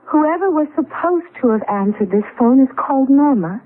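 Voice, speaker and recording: An actress playing an anxious, fretful young wife in a 1970s radio drama, speaking hesitantly. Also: female voice, high voice, animated, moderate pace. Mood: uneasy. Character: anxious pregnant young wife, stranded in the past. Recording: tinny, band-limited vintage radio recording.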